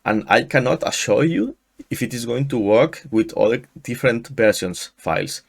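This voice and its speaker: A man in his thirties from Mexico, a native Spanish speaker with a Mexican accent, walking through a software install in a screen-share tutorial, often restarting his sentences. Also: mid-range voice, expressive, creaky. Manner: halting, frequent restarts and fillers. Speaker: tutorial host. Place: small room indoors. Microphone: headset.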